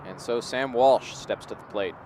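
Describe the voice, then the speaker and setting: A man's voice: mid-range voice, moderate pace. A man giving live play-by-play baseball commentary as the game unfolds. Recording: clear outdoor background noise.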